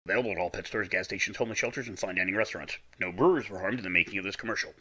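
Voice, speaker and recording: A speaker voicing a low, pitched-down announcer who rattles off the fine print of a joke ad. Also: male voice, racing, sharp tone, breathy edge, full character voice, rough recording. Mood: deadpan. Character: rapid deep-voiced disclaimer announcer.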